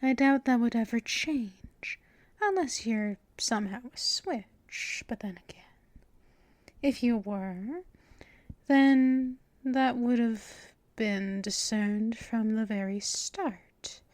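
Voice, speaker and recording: A woman voicing a possessive, teasing female vampire mistress in an ASMR audio roleplay, half-whispering in a breathy, intimate way. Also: a little high, slow pace, darkish tone, no room sound.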